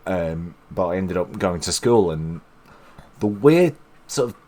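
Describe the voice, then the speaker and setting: Rambling low-voiced English man. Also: lively intonation, measured pace, warm tone. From England. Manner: rambling, with many restarts and fillers. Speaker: stream host. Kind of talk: casual chat.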